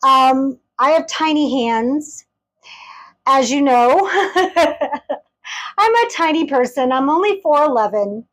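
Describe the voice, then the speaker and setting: A woman's voice: high voice, lively intonation, slow, long pauses, fairly bright tone, low-quality recording. A woman reviewing a tarot deck in a casual, chatty way, gushing with enthusiasm and talking straight to her viewers. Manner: chatty, addresses viewers directly.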